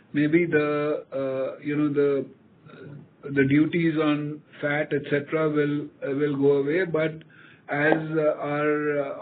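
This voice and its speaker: Indian exec guy on earnings call, slightly high voice, measured and halting, phone sound w/ some hiss. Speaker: company executive. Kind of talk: answering questions on an earnings call. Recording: tinny phone line with noise.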